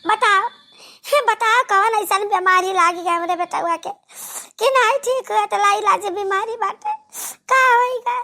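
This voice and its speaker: A cartoon village woman, extremely high and piercingly bright. She wails and scolds in an Awadhi accent, loud and melodramatic, with a creaky edge. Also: unhurried, full character voice. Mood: distressed pleading. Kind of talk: exaggerated cartoon comedy dialogue.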